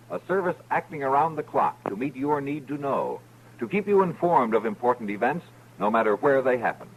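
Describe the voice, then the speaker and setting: Lively American vintage radio announcer man. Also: medium pitch. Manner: polished, emphatic announcer delivery. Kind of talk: 1950s scripted radio promo narration. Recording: faintly noisy old radio recording.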